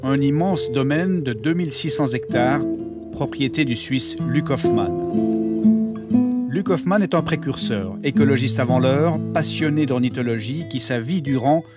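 A man's voice: medium pitch, moderate pace. Male documentary narrator over prominent music. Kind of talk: scripted narration.

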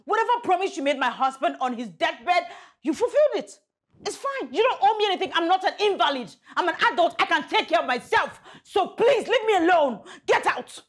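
Outspoken widow with lively pitch. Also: female voice, moderate pace. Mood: indignant. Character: proud, independent, outspoken widow. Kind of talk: naturalistic screen drama acting.

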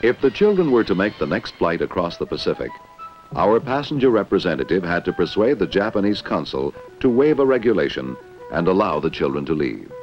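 A dull, dated film soundtrack with music carries a low-voiced American man. He narrates a 1960s corporate training film like a polished announcer. His measured script reading moves through lively rises and falls.

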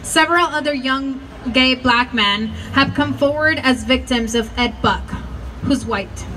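A woman's voice: high voice, a little slow, bright, forceful tone, soft background music. A woman reading introductions of speakers at an outdoor rally. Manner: reads introductions from notes. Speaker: rally emcee. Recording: distant mic with street noise.

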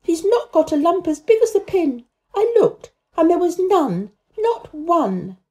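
A woman's voice: extremely high pitch, very animated, a little slow, darkish tone, voice pushed up, full character voice. A woman voicing a stubborn, fervent young girl in a storybook reading, insistent and emphatic. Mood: indignant. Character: obstinate, fervent young girl, quick to protest.

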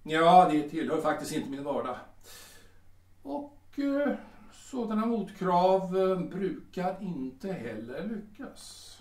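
A man's voice: high-pitched, sing-song, darkish tone. A man acting a smug, lecherous café owner in a Swedish audio drama, oily and hesitant, often repeating himself. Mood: condescending. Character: smug, lecherous male Viennese café owner, haggling and menacing. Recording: roomy, distant from the mic.

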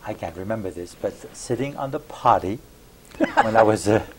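A man in his sixties from New York City, telling stories about his life in a halting, good-humored way as an interview guest. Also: fairly low pitch, expressive. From born in Belgium, raised in New York City. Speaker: interview guest, retiring music professor. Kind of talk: conversational reminiscing. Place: small cable TV studio. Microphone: lapel mic. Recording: noisy 2001 VHS studio recording.

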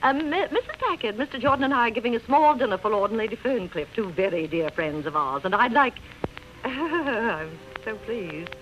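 Fluttery society hostess, swooping high voice. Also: female voice, lively intonation, moderate pace, fairly bright tone. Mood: gushing, polite. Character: fussy, snobbish, flustered society hostess and mother. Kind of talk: 1930s radio acting. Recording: dull, noisy old radio recording.

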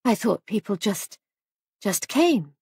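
A woman voicing a spirited young guesthouse keeper in a storybook, brisk and light, pointedly polite and defiant. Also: mid-range voice, very animated, a little mellow, breathy edge, no room sound, clean studio sound, exaggerated voice. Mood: anxious.

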